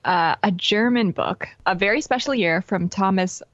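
A woman's voice: medium pitch, moderate pace, a bit bright, no echo, highs cut off. A woman co-hosting a podcast, reading out listener messages in a friendly, easygoing way. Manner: friendly, laughs easily. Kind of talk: casual chat.

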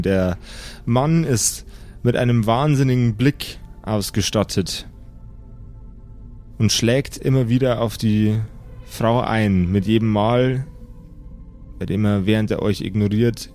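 A man narrating an improvised tabletop horror adventure as game master, pausing as he builds each description. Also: lowish voice, a little mellow. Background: background music.

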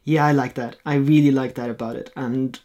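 Man, native Norwegian speaker, painter guest; thoughtful, halting delivery. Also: medium pitch, steady tone, a little mellow, highs cut off. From Norway. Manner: reflective, hesitant, with frequent restarts and light laughs. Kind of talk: casual interview conversation.

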